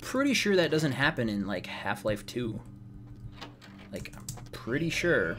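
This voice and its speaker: A slightly hoarse man giving casual, rambling gameplay commentary as a let's play host, sounding wry and exasperated. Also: medium pitch, big pitch swings, measured pace, background noise. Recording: game music behind the voice. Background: video game music.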